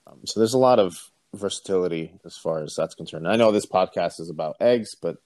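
Very deep-voiced easygoing man. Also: brisk, no room sound. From Puerto Rico. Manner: relaxed, easygoing storytelling with many ums. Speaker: podcast co-host. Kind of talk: casual chat.

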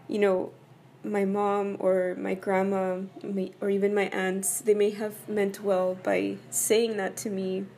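A woman from El Paso, Texas, hoarse from a cold, talking casually and personally to her viewers, leaning on "you know" and "right?". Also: medium pitch, level tone, takes it slowly, hardly any pauses, darkish tone, slight background noise. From El Paso, Texas, USA. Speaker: vlogger. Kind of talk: casual personal talk to camera.

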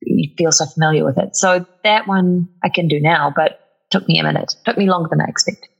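A young woman from New Zealand with a New Zealand accent, chatting as a guest in a relaxed interview, with a lilting upspeak. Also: deep voice, fairly quick, vocal fry, slightly rough, muffled recording. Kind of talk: casual interview chat.

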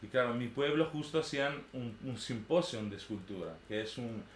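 A man's voice: medium pitch, animated, a little fast. A man in his thirties with an Italian accent, native to northern Italy, gives an informal, unscripted personal talk. He rambles and hesitates, often checking for agreement as he goes. The room echoes around him, with a faint layer of noise behind. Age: 30s. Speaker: artist giving a talk.

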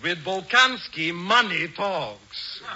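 A man's voice: high-pitched, animated, takes it slowly, pushed and bright, breathy edge, full character voice. A man playing a pompous, wisecracking con-man professor who loves money, in a 1940s radio comedy. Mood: smug, sly. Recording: muffled, noisy 1940s radio broadcast.

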